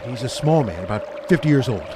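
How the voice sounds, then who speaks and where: A man acting a gruff, skeptical ghost hunter in an amateur supernatural radio play. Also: medium pitch, animated, natural voice. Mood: solemn. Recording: clearly noisy, rushing water sound behind.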